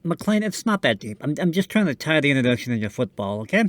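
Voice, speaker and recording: A man's voice: on the high side, sing-song, fast, dry recording. A man hosting a radio show in scripted comedic banter, playing the polite, slightly hesitant straight man.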